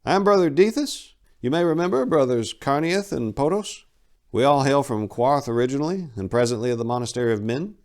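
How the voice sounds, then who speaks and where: A man's voice: mid-range voice, expressive, fairly quick, a little mellow, pitched above the performer's own voice, lightly acted. A man voicing an earnest monk who speaks formally for his weary brothers in a fantasy story.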